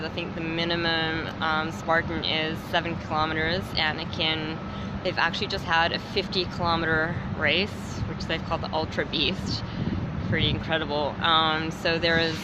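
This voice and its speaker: A young Australian woman hosting a casual livestream, hesitant with lots of ums, her voice going hoarse. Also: low voice. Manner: hesitant, many ums, greeting viewers. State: losing her voice. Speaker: livestream host. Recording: loud background noise.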